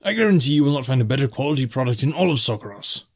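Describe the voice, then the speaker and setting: A man's voice: mid-range voice, lively intonation, racing, pitched above the performer's own voice. A man voicing a friendly, stout northern bartender in a fantasy audiobook, with a jovial, hospitable manner. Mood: proud. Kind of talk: fantasy audiobook character dialogue.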